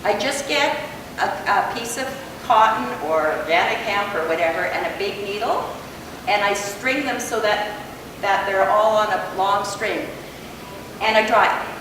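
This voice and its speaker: An older woman from Canada giving a loud, chatty workshop talk to an audience, with many fillers. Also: medium pitch, lively intonation, unhurried. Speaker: workshop presenter. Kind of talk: casual hands-on talk to an audience. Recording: echoey hall, voice far from mic, background noise.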